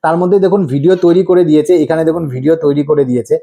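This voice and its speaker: A man walks viewers through a tutorial in a high, mellow voice. He talks quickly, repeats each step and keeps asking rhetorical questions before answering them. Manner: instructive, repetitive, prompting viewers with rhetorical questions. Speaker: tutorial host.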